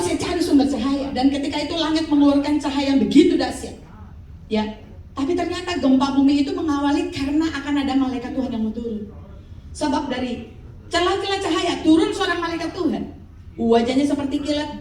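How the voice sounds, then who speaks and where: A high-pitched woman in her fifties delivers a lively sermon and keeps drawing answers from her congregation. Her voice rings through a big, echoey hall, picked up by a mic some distance away. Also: fairly quick. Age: 50s. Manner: lively preaching with call-and-response. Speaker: pastor.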